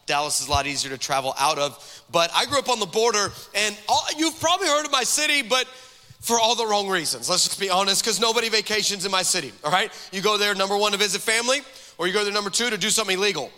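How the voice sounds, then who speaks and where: A man in his forties from the Texas–Mexico border, a guest pastor preaching a lively, joking sermon and calling out to the crowd in a large church hall. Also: sits high, quick pace. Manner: energetic, joking, calls out to the crowd. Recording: long hall reverb from a church sanctuary.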